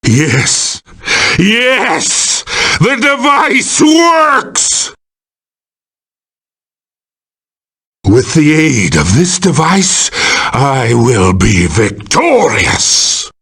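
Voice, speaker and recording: A man's voice: mid-range voice, fairly bright tone, husky, heavily acted. A man voicing a boastful, power-mad supervillain for a video game, grandiose, theatrical and menacing in delivery. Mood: exultant. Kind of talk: video game villain voice acting.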